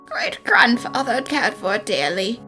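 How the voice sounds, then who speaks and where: Bright high woman, lively delivery. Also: slow pace, soft background music. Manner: pleading, flustered. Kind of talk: fan dub voice acting.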